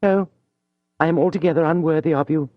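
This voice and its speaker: A man's voice: a little high, fairly even intonation, brisk, no room sound, pitched above the performer's own voice, lightly acted. A man reading an audiobook, voicing a proud, passionate young noblewoman who pleads in a heightened, imploring way. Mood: yielding. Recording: dull dry studio audio.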